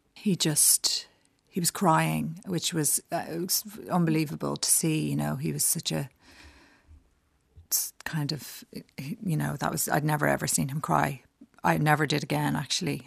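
Woman, studio interview guest, slightly low pitch, hesitant, dry close sound. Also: vocal fry, no echo. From Ireland. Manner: hesitant, many fillers and restarts. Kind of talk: radio interview, personal storytelling. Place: radio studio.

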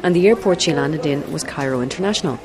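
A woman's voice: deep voice, quick pace. A woman narrating a radio documentary in a scripted storytelling way. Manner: warm storytelling, lively, occasional laugh. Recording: radio documentary with music bed.

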